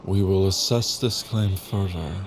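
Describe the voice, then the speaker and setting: An actor playing a suspicious East military captain, deliberate and authoritative in an audio drama. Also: male voice, sits low. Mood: stern, suspicious. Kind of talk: cinematic audio drama acting. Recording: faint vehicle noise behind.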